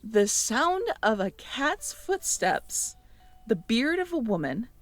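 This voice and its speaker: A woman's voice: fairly high pitch, sing-song, takes it slowly, no room sound, soft background music. A woman in an intimate audio roleplay, musing aloud as she reads through notes and checks back with the listener.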